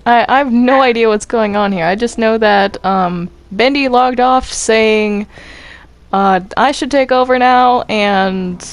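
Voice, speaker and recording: A woman chatting casually in a game voice chat, hesitant and halting, often sounding confused. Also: fairly high pitch, no room sound. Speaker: gamer in a group roleplay.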